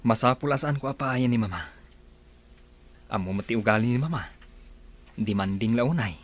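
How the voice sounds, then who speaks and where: A man's voice: mid-range voice, moderate pace, natural voice. A man with a Filipino accent playing a busy company boss with an eye for young women in an Ilocano radio comedy drama. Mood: brisk, businesslike.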